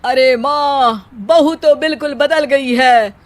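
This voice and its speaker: A woman's voice: high-pitched, slow. A woman voicing a lazy, self-pitying young husband in a cartoon story, with a theatrical poetry-recital delivery. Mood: aggrieved.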